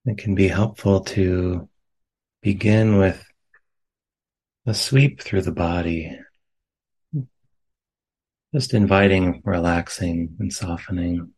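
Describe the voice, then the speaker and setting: A man leading a guided meditation as a teacher, speaking gently and unhurriedly in short phrases with long pauses. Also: sits low, fairly even intonation, slightly rough, rough recording. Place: meditation center.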